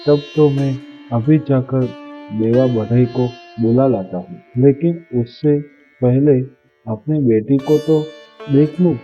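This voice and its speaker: A man's voice: mid-range voice, slow, muffled recording, faint music behind, close to an everyday voice. A man playing a worried, loving merchant father in a Hindi moral story cartoon, speaking earnestly.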